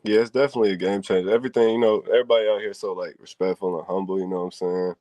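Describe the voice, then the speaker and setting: A man's voice: low voice, steady tone, rapid-fire, warm tone, dry recording. A young man, a wrestler guest on an interview, talking in laid-back slang and saying "you know what I'm saying" constantly. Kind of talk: casual interview chat.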